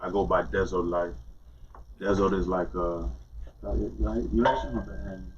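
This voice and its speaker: A man's voice: deep voice, fairly even intonation, normal speed, darkish tone, slight background noise. A man from Miami, a guest on a live-stream talk show, speaks in a laid-back way with loose street slang. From Miami, Florida. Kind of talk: casual interview chat. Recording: distant, dull live-stream audio.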